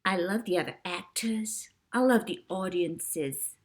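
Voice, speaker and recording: A woman's voice: on the low side, close to an everyday voice. A woman voicing a gushing, showy little girl stage star with a sugar-sweet voice in an audiobook. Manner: gushing, drawn-out stage-darling delivery.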